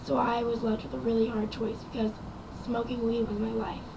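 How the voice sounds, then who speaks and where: A young woman speaks to camera in a raspy, quiet voice, a little higher than most. She rambles through her story with frequent ums and likes. The home recording carries clear background noise. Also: level tone, fairly quick. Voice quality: raspy and quiet from vocal cord damage. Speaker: vlogger. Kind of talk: casual personal story to camera.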